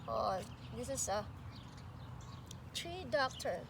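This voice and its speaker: High-voiced woman reading slowly to children. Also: animated. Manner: pauses to question and address young listeners. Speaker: pre-K teacher reading aloud to children. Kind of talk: expressive picture-book read-aloud with asides. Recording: clearly noisy amateur recording.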